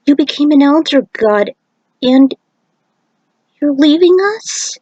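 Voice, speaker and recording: A woman's voice: sits high, expressive, takes it slowly, dark tone, dry recording, dull audio, voice pushed up. A woman voicing an earnest, devoted young female warrior with open emotion in a story. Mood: tearful, pleading.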